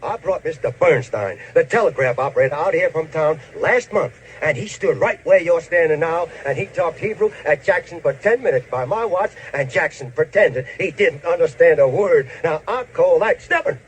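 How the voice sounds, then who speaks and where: Brief: pushy cowboy-movie ranch boss, high, lively delivery. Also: male voice, moderate pace, few pauses, husky. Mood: insistent. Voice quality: folksy, insistent, emphatic. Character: blustering opinionated old rancher father. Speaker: rancher and father. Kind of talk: old western film acting.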